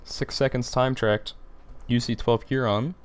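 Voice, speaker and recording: A teenage boy with a deep voice, hosting a casual livestream and chatting with viewers, rambling and repeating himself with many fillers. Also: fairly even intonation, measured pace, clear, resonant tone. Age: teens. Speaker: livestream host. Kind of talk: casual livestream chat reading comments.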